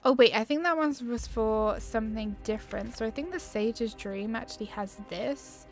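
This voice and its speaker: A woman with a fairly high voice gives casual gameplay commentary. She is chatty and giggly and often muses to herself. Game music plays clearly behind her. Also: normal speed. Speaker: gaming video host.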